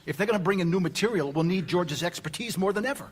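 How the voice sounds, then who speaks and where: An actor playing a blunt newspaper lawyer who argues urgently in a hushed aside. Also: male voice, sits high, expressive, quick pace.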